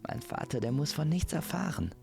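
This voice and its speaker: Guy doing a eager flirty princess, easily miffed, higher voice, quick gushy lines, audio fairytale. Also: expressive, creaky, music in the background. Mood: flirtatious.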